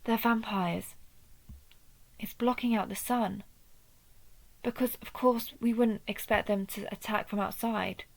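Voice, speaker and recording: A woman playing a guilt-ridden vampire hunter in an intimate audio roleplay, with a halting, brooding delivery. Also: mid-range voice, fairly even intonation, gravelly, poor audio. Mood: remorseful, afraid. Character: guilt-ridden female vampire hunter, wounded, turning dark. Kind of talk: dramatic audio roleplay spoken to one listener.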